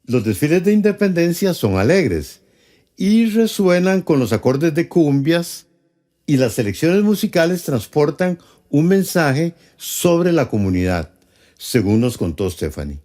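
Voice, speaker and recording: A man narrates a documentary in a warm, mellow voice. His pitch moves a lot, giving the scripted lines an animated, expressive sound. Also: a little slow.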